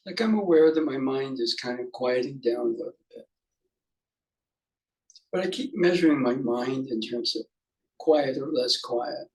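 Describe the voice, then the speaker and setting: A man leading an unscripted guided meditation as a teacher, musing haltingly in short fragments with pauses. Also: mid-range voice, lively intonation, low-quality recording.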